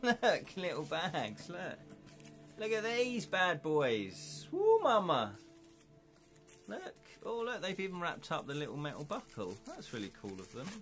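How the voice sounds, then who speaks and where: A man with an English accent hosting a casual live stream, chatty and excitable, chuckling often as he unboxes things at home. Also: a little high. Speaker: livestream host.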